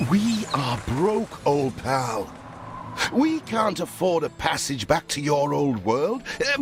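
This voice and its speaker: A wry loyal buddy in a game, takes his time, pitch swoops everywhere, music under it. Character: loyal, wry, rough old pal and sworn protector. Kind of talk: video game story dialogue.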